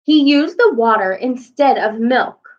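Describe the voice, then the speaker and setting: A humble, worried baker man from a picture book, played by a woman reading aloud. The voice is high and pleading, drawn out slowly with expressive rises and falls. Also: muffled recording.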